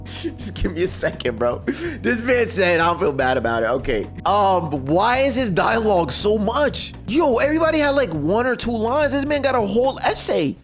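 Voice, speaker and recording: A man's voice: high voice, sing-song, moderate pace, faint music behind. A man reacting casually as a streamer, thinking aloud and exclaiming in slang.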